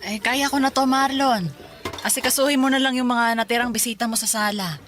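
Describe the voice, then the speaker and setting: A woman's voice: on the high side, steady tone, brisk, fairly bright tone, soft background music, close to an everyday voice. An actress playing a devoted middle-aged Filipino mother in a radio drama, tender and reassuring in manner. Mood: gently brisk.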